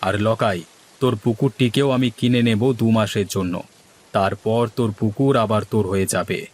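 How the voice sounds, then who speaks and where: A man's voice: fairly low pitch, steady tone, normal speed, a little hiss or hum, close to an everyday voice. A man voicing village men in a cartoon, from schemers to worriers, with theatrical, exaggerated dialogue delivery. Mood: commanding.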